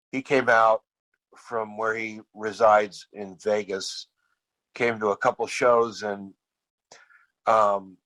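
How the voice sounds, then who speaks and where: A man's voice: fairly low pitch, fairly bright tone, no room sound, poor audio. A man who is losing his voice, telling stories in casual podcast chat with many restarts and repeats. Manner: rambling storyteller with many restarts. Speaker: podcast guest, band frontman.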